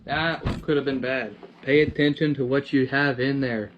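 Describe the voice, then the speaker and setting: A man's voice: medium pitch, fairly even intonation, normal speed. A man giving casual hands-on commentary as he works, thinking aloud in muttered fragments. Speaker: DIY vlogger. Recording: noisy, dull lo-fi camera audio with clicks.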